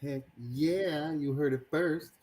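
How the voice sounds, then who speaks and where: A man hosting a live stream with energetic, hype-style shout-outs, bringing guests in and out. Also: fairly high pitch, takes it slowly. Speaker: host.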